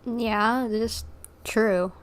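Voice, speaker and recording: A woman giving casual livestream commentary on a game, musing and thinking out loud. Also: fairly high pitch, fairly even intonation, very slow, slight background noise.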